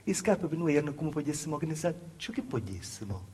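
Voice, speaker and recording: A male stage actor with a Calabrian accent playing a plaintive, naive southern peasant woman recalling a hard childhood in an intimate, halting, repetitive dialect monologue. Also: fairly high pitch, very animated, warm tone, husky, exaggerated voice. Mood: wry, confiding.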